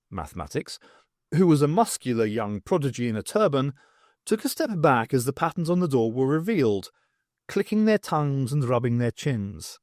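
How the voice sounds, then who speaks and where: A man's voice: mid-range voice, darkish tone, dry recording, clean studio sound. A man reading scripted, dramatic narration as an audiobook narrator.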